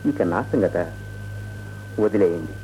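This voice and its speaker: An actor in a radio play playing a defiant, romantic young crown prince, speaking in a measured, theatrical and pointed way. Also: male voice, low-pitched, dark tone, lo-fi sound, a little hiss or hum. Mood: cool, wry. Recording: radio drama with music.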